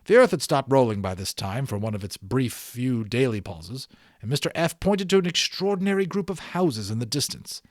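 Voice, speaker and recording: A man reading a children's novel aloud as an expressive amateur audiobook narrator. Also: mid-range voice, normal speed, no echo, studio quality.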